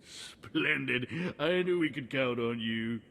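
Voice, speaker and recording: An actor playing a pompous politician in a video game, with grandiose, theatrical patter. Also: male voice, medium pitch, takes it slowly, creaky, fairly bright tone. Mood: brisk, self-important. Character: pompous, smooth-talking male politician, a senator. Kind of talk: video game cutscene acting.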